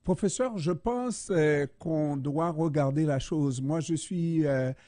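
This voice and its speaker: A man from Haiti hosts a radio interview, weighing each word slowly with many pauses. His voice is dark and mellow. The sound is dry and close, with little room echo. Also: on the high side. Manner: slow, deliberate, many hesitations. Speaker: radio host.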